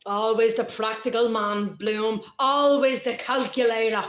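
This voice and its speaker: A woman's voice: a little high, flat delivery, measured pace, natural voice. A woman voicing a belligerent, scornful nationalist man in a live reading. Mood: scornful, heated. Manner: sneering, heated taunts. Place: community centre hall, live event. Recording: roomy hall echo.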